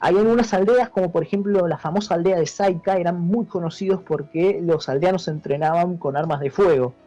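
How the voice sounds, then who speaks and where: Male, Argentine accent, soft dark tone, didactic interview guest; dull lo-fi sound over music. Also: sits high, fairly quick. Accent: Argentine Spanish. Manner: didactic, checks understanding with tag questions. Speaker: interview guest, history teacher. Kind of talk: informal explanatory interview. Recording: dull lo-fi audio with music behind.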